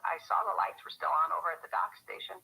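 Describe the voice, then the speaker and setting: An actor playing a harried small-town harbor office worker in a radio drama, chatty and fretful on a telephone. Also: male voice, quick pace, pushed and bright, rough recording. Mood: familiar, teasing. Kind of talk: radio drama phone call.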